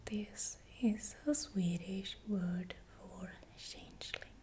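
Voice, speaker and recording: A woman narrating in ASMR style in a breathy, unvoiced whisper, speaking softly and deliberately. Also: mid-range voice, steady tone. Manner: slow, deliberate, repeats words softly. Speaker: ASMR creator narrating folklore.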